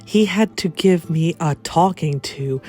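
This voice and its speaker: 여성의 낮은 목소리, 연극적인 이야기 낭독, 배경 음악. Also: female voice, on the low side, a little slow, darkish tone, soft background music. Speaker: reader of a written personal story. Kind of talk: dramatic reading of a first-person story, acting out the quotes.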